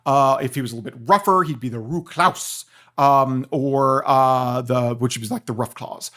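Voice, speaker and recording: A man's voice: mid-range voice, moderate pace, fairly bright tone. A man speaking as a guest professor in an interview, explaining things in a professorial way and checking in with listeners.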